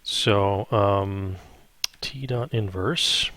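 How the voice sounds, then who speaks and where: Male math instructor, lowish voice, dry close sound, informal tutorial delivery, thinks out loud and frequently tags on check-in questions. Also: very slow, no echo. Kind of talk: improvised step-by-step spreadsheet tutorial.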